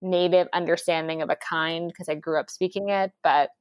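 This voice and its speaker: A woman speaking in an animated, conversational way, full of "like" and "um," as she explains how she built her cooking classes. The sound is dry and close, with no room echo at all. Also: lowish voice, moderate pace, clear, resonant tone. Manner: animated, lively storytelling with many fillers. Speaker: founder of a cooking school, guest. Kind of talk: conversational interview.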